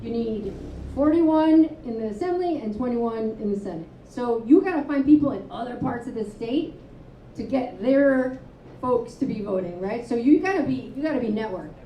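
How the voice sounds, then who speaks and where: A woman author and advocate speaking candidly at a live panel, telling stories with quick asides. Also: fairly high pitch, slight background noise. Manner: candid, storytelling, animated asides. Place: live event venue, indoors. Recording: roomy, distant, dull live-room sound.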